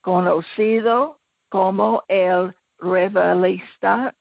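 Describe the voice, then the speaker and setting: A woman with a very gravelly voice introduces a radio program very slowly, one word at a time. The line sounds tinny and phone-like. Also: mid-range voice, steady tone, no room sound. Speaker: radio host. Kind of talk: show introduction.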